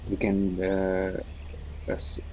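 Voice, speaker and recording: A man giving unscripted let's play commentary, haltingly thinking aloud as he goes. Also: deep voice, monotone, a little hiss or hum, rough recording. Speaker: gaming video host.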